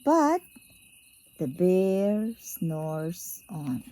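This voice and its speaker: Unhurried, mellow woman reading a bedtime story. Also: lowish voice, sing-song, slight background noise. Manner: drawn-out, sing-song emphasis on key words. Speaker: parent reading a bedtime picture book aloud to a young child. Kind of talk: expressive storybook read-aloud for young children.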